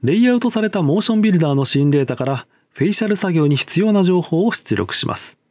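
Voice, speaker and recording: A man's voice: high voice, lively intonation, fast talker, a little mellow, no echo, clean studio sound. A man presenting as a lead animator, reading a scripted technical explanation in a formal, polite manner.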